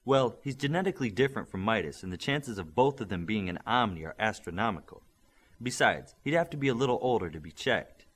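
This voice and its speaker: A man reading the part of a confident male coach who leads a young team, in a calm, assured voice. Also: fairly low pitch, fairly quick, slightly rough.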